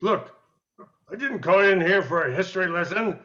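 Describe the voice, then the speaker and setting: Radio-play captain man acting: older, gruff, demanding, short on patience. High-pitched, sharp-edged delivery. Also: expressive, moderate pace. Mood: impatient. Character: rough, exacting, impatient older male police captain. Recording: dull, lo-fi sound.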